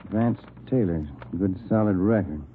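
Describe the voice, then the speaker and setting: An actor playing a plain-spoken, weary police detective partner in a 1940s radio drama, terse and dry. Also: male voice, on the low side, unhurried, natural voice. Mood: businesslike. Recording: tinny, faintly hissy 1940s radio recording.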